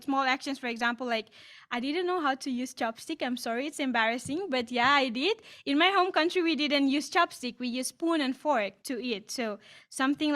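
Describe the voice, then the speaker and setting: A woman's voice: high-pitched, pushed and bright. A young woman from East Timor, whose first language is Tetun, answering questions on a video call with many "like" fillers and restarts. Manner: frequent "like" fillers, halting restarts. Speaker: student panelist. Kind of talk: online Q&A answer.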